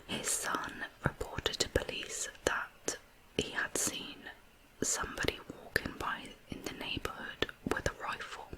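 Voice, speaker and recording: An ASMR host narrating true crime in a hushed, unvoiced, breathy whisper with deliberate phrasing. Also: poor audio. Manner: slow, deliberate, hushed storytelling.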